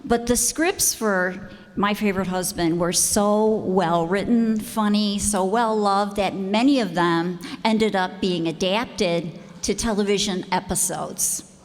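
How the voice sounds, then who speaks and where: A woman's voice: medium pitch, slow pace. A woman speaking informally as host, introducing a show. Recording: echoey hall.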